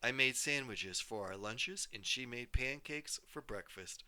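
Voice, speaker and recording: A man reading a children's story aloud as a teacher, with expressive, dramatic storytelling. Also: mid-range voice, measured pace. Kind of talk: storybook read-aloud narration.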